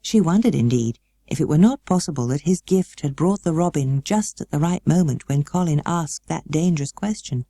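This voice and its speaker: A woman with a British accent narrating a children's novel as an expressive audiobook reader. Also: low voice, normal speed, few pauses, no room sound. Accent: British English. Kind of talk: expressive scripted storybook narration.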